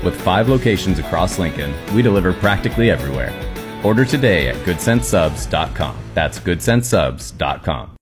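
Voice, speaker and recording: A man reading radio commercials in a smooth, upbeat, polished way. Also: sits low, animated, moderate pace. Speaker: ad announcer. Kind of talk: radio ad read. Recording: ad with clear music bed.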